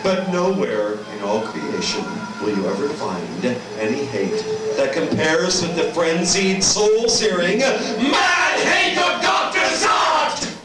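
A man's voice: sits high, very animated, slow, hardly any pauses, over a noisy crowd, full character voice. A man playing a lurid, portentous, theatrical narrator of a scripted 1950s horror comic tale. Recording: heavy echo, distant, loud music behind.

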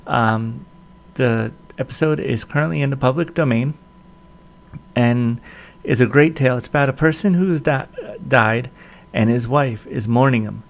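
A man's voice: medium pitch, measured pace, gravelly. A man in his forties casually hosting a video introduction to old-time radio shows.

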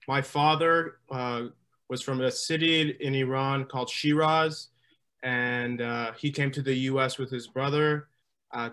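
A young man with a mid-range voice speaks steadily on a video call, often pausing on small fillers. His tone stays plain and even. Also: medium pitch. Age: young adult. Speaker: student panelist. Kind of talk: online panel discussion.

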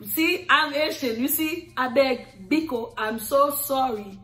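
A woman's voice: high voice, slow, fairly bright tone, roomy sound. A middle-aged woman with a Haitian accent, her first language Creole, thanking her subscribers on camera in an affectionate, sing-song way, stretching out repeated words. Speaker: YouTuber. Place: living room with red leather sofa, indoors. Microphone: camera in front, seated.

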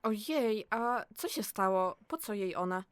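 A woman's voice: mid-range voice, expressive, measured pace, smooth, dry recording, natural voice. A woman playing a chatty, curious and helpful office colleague in an audio drama. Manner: chatty, exclamatory, eager. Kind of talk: amateur audio drama acting.

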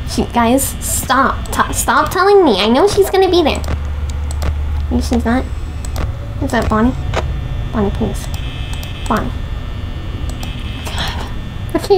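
A woman's voice: a little high, background noise. A young woman with a headset, playfully commentating a horror game, chatting to her viewers and teasing the game characters. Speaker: gaming video host.

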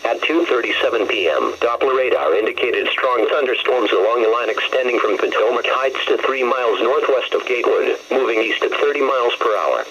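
A synthetic man's voice reading scripted weather bulletins in a measured, impersonal manner over a radio. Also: medium pitch, animated. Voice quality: synthetic text-to-speech voice. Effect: radio broadcast. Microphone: camera recording a radio's speaker. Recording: muffled, noisy weather radio speaker.

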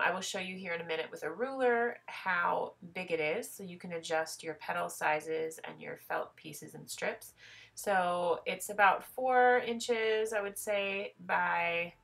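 A woman's voice: lowish voice, creaky, fairly bright tone. A woman with a head cold explaining a craft project step by step in a chatty, self-deprecating tutorial voice.

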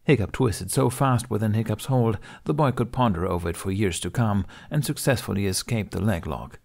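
A man's voice: lowish voice, moderate pace, vocal fry, darkish tone. A man narrating a scripted story as an audiobook reader.

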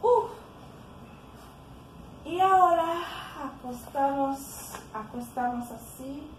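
A young Haitian-accented woman leads a floor workout at home in a slow, high voice, puffing and tired between cues. Her voice bounces around an echoey living room, picked up by a camera on the floor. A steady hiss of room noise sits under her. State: tired from exercising. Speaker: fitness vlogger. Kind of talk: casual home workout instruction. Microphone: camera on the floor nearby.

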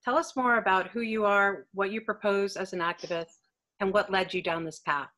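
A woman's voice: mid-range voice, steady tone, moderate pace, bright, forceful tone. A woman hosting a web talk from a room at home, reading polished prepared questions over a video call. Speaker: host. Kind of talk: scripted web talk moderation.

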